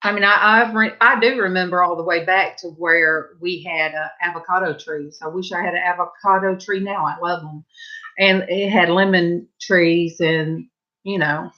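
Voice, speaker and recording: A woman's voice: on the low side, fairly even intonation, moderate pace, dull audio. A middle-aged woman with a Southern US accent, chatting casually at home and rambling to her viewers while she paints. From Southern California. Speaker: vlogger. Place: home, indoors.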